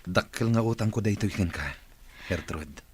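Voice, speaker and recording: A solemn, ailing Ilocano Filipino boss acted by a man in a radio drama. His voice is very low and rough-edged, the voice of an employer set on getting well. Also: moderate pace. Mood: earnest, hopeful. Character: ailing male boss, solemn, longing to recover.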